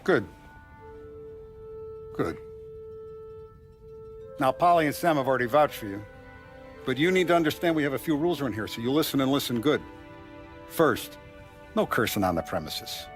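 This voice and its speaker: An actor playing a calm, authoritative mafia boss who lays down rules in a measured, lecturing way, in a game cutscene. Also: male voice, medium pitch, natural voice. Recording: faint music behind.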